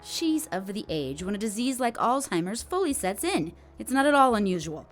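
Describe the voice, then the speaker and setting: A woman's voice: medium pitch, big pitch swings, moderate pace, soft background music, close to an everyday voice. A woman playing a coolly polite, condescending hospital doctor in an audio drama, with formal, clinical phrasing. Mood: clinical, assured.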